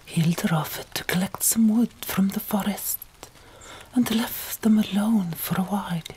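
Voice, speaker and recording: A woman's voice: medium pitch, unhurried, background noise. A woman narrating an audiobook in a whispered ASMR style.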